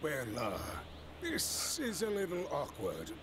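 A man voicing a pompous, indignant old android scientist in an animated parody, creaky and wordy. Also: a little high, slow, full character voice. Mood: awkward, sour. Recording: noisy, reaction talk over parody audio.

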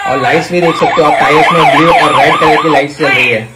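Excitable higher-pitched man hyping toys. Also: fast talker. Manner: excitable, exclaiming, repetitive hype. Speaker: toy review video host. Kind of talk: enthusiastic toy showcase commentary addressed to viewers. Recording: clear background noise with clicks.

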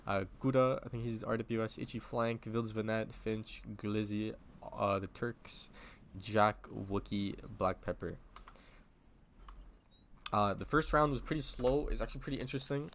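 A man's voice: fairly low pitch, steady tone, moderate pace, slight background noise. A man with a sick, strained voice casually commentating a livestreamed game match in an informal, rambling, sweary way. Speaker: esports commentator, livestream host.

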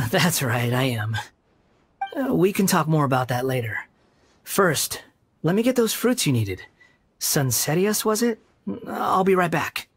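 A man's voice: fairly high pitch, sing-song, unhurried, long pauses, breathy edge, heavily acted. An actor voicing a polite, humble young male wanderer in a video game. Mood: agreeable, evasive. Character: polite, humble, soft-mannered young wanderer working as helper.

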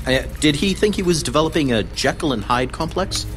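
A man playing a calm, considerate questioner in an audio drama, polite and probing. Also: a little high, a little fast, over music, lightly acted. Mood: calm, curious.

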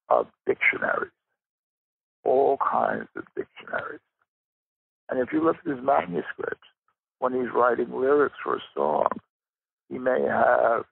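Older man, very creaky voice, lively intonation, reflective interview talk, deliberate phrasing. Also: medium pitch, dry recording. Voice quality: gravelly, husky. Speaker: interview guest, writer and rare book dealer. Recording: thin phone-like line.

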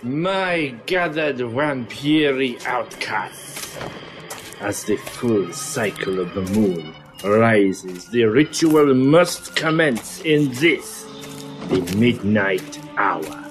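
A man playing a pompous, theatrical vampire master leading a dark ritual in an audio drama. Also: medium pitch, extremely slow, low-quality recording. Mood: grandiose, commanding. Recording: music under the voice.

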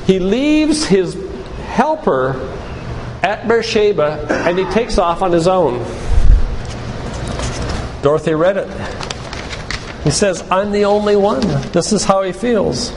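An older man giving a sermon-like lecture with scripture readings, speaking in a deliberate, emphatic way with pauses. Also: high voice, lively intonation. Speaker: physician giving a church lecture. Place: large church room, live talk. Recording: echoey hall with background noise.

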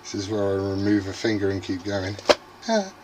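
A man's voice: lowish voice, sing-song, normal speed. A middle-aged British man hosting a casual, unscripted vlog, sweary and jokey as he mutters to himself. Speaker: video host unboxing CB radio gear. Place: small indoor radio workshop. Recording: noisy room, loud background noise, clicks.

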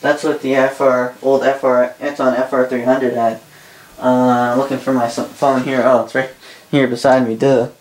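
A Canadian man casually demonstrating a gadget as a reviewer, halting, rambling and correcting himself as he goes. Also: medium pitch, little pitch movement, darkish tone, poor audio. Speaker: product reviewer. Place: small indoor room at a desk.

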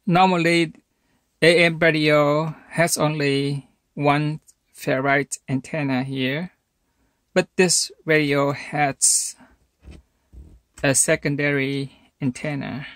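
A man narrating an instructional electronics demonstration, speaking haltingly in short phrases with pauses between them. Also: a little high, flat delivery, fairly bright tone, dry recording. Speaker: electronics hobbyist presenter.

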